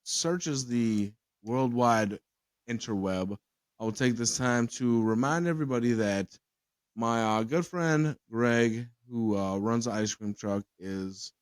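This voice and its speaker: A man's voice: medium pitch, takes it slowly, dry recording. A man hosting a casual podcast chat who rambles, swears freely and laughs often. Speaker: podcast host.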